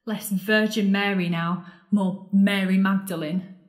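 A woman's voice: medium pitch, steady tone, a little slow, natural voice. An actress playing a bitter, scornful woman confronting her lost love in an audio drama, sardonic and accusing. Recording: roomy, echoing space.

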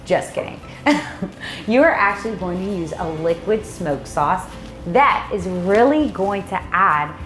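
A woman's voice: fairly low pitch, lively intonation, measured pace, fairly bright tone, roomy sound, faint music behind. A woman in her thirties co-hosting a vlog, cheerfully walking through a recipe step by step with small jokes, in a home kitchen. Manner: upbeat, jokey, step-by-step instructions. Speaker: vlog co-host. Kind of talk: casual cooking demo and chat.

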